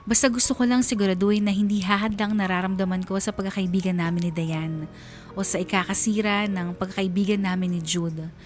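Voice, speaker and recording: A woman's voice: medium pitch, steady tone, a little fast, lightly acted. Woman acting lovelorn young woman narrating, music behind. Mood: confessional, yearning. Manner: confessional, earnest. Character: lovelorn young woman secretly in love with best friend's girlfriend. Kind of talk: first-person dramatic narration for radio drama.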